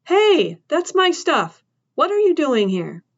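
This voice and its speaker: A woman's voice: a little high, animated, fairly bright tone, lo-fi sound. A woman voicing a scared, injured boy who stammers defensively. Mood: nervous. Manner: halting, nervous stammer.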